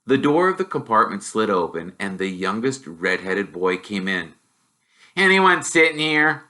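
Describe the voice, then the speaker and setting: A man narrating a storybook in an expressive, animated way, as someone who performs the characters. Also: sits low, moderate pace. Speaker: reader, teacher.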